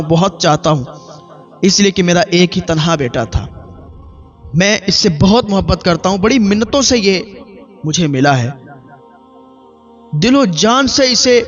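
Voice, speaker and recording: A man playing a heartbroken father, anguished and accusing, his voice raised high. Muffled lo-fi sound with soft music underneath. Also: close to an everyday voice. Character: grieving father mourning his only son. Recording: dull lo-fi with faint music.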